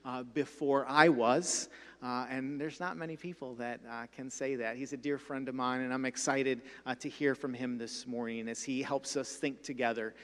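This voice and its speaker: A male pastor introducing a guest speaker in a measured way, pausing on "uh". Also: medium pitch, steady tone. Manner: measured, with "uh" fillers. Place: church sanctuary. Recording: long hall reverb.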